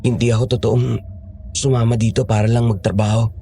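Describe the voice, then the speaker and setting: A man's voice: mid-range voice, little pitch movement, brisk, gravelly, dark tone, slight background noise, close to an everyday voice. A man acting an earnest, guarded monster hunter posing as a cook in a horror audio drama. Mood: grave, confiding.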